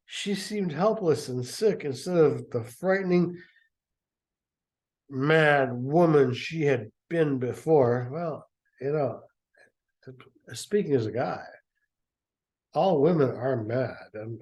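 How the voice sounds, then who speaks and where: A man reads a story aloud and keeps interrupting himself with dry, sarcastic asides. His voice is mellow and low-toned, and he takes his time. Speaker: reader and commentator. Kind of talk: sarcastic commentary on a book reading.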